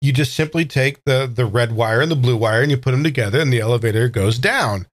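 A man's voice: medium pitch, quick pace, dry recording. A man playing a tabletop game, chatting casually and describing his character's moves with playful exaggeration. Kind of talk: casual actual-play game chat.

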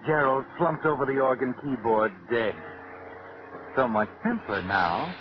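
An actor playing a sinister, all-knowing narrator of a 1940s radio suspense show, insinuating and mocking. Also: male voice, mid-range voice, lively intonation, a little slow, natural voice. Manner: insinuating, theatrical. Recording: muffled noisy old radio broadcast.

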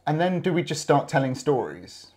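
A man's voice: fairly high pitch, very animated, darkish tone. A man co-hosting a podcast, making wry, teasing remarks in casual chat. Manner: wry, teasing asides, quick to laugh. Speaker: podcast co-host.

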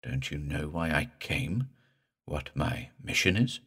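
A man voicing an undead drowned man in a horror story, with a slow, creepy, guttural, gurgling drawl and a vengeful temper. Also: very deep voice, lively intonation, a bit bright, husky, pitched below the performer's own voice, heavily acted. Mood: menacing.

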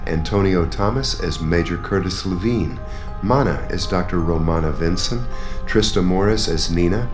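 A man reading scripted closing credits as announcer of a radio drama. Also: low voice. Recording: roomy sound under loud guitar music. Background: guitar theme music.

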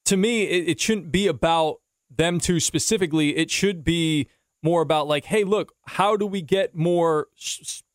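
A man hosting a casual sports talk interview, talking in a halting, rambling way with repeated phrases. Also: fairly high pitch, no room sound, studio quality. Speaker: podcast host and interviewer.

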